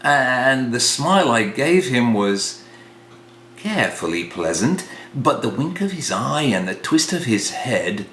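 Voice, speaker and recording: A man reads narrative verse aloud in a theatrical storyteller's style. He takes his time, shaping each phrase for drama. The room echoes around him, with a faint hum underneath. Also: lowish voice. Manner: dramatic, hushed passages rising to exclamations. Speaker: reader of a comic poem. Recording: roomy echo, faint hum.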